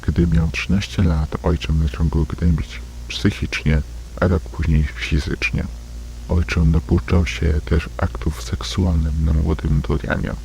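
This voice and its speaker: A man's voice: a bass voice, little pitch movement, measured pace, creaky, darkish tone, poor audio. A man narrating a scripted analog horror case file in a detached, report-like manner. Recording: faint hum and background noise.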